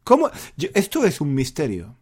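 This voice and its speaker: A man's voice: on the high side, huge pitch swings, normal speed, a little mellow, breathy edge. A man from southern Spain hosts an informal podcast for learners, theatrically repeating phrases and asking rhetorical questions. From Granada, Andalusia, Spain. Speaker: Spanish teacher, podcast host.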